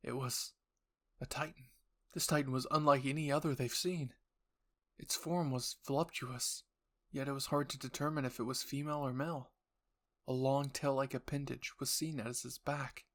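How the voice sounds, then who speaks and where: A man's voice: medium pitch, steady tone, moderate pace, a bit bright. A man narrating an audio roleplay story in the second person with an earnest, theatrical delivery.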